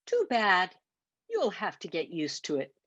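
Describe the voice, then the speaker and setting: A woman voicing a clever, calm trickster man with a teasing, unhurried manner in a children's story. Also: on the low side, slightly rough, no room sound, heavily acted. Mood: firm.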